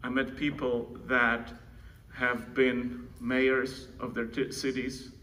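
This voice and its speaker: A man's voice: mid-range voice, flat delivery, slow pace, pushed and bright, recorded from a distance. A man from the Republic, giving a conversational keynote introduction at a conference, with restarts and asides to the audience. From Czech Republic. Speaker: keynote presenter introducing his co-founder. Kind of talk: live conference speech. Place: large conference room. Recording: conference room, speaker away from the mic, faint room noise.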